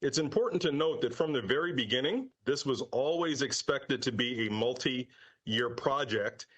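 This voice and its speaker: A man speaking as a county official, making a measured, formal public statement. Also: mid-range voice, expressive, a bit bright, husky. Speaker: county board chairman.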